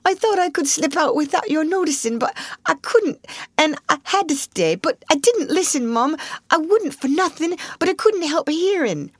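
A timid young scullery maid, as a woman reader puts her on, begs in a high, wobbly voice that swoops far up, stammering and tearful. Her grammar is rough and her words tumble out in a rush. The sound is close and dry. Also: lively intonation, moderate pace, no room sound. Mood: pleading.

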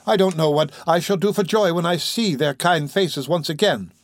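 A man's voice: fairly high pitch, normal speed, no room sound, pitched above the performer's own voice, natural voice. A man voicing an eager, grateful young orphan boy in an audiobook, speaking earnestly. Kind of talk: audiobook character voice.

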